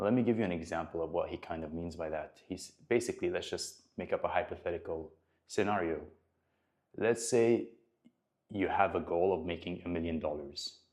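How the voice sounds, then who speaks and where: A man in his early twenties talks straight to the camera with a very low, deep voice. He speaks earnestly and motivationally about his own growth, and his sentences often stall and restart. He is a personal trainer recording a vlog. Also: darkish tone. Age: 20s.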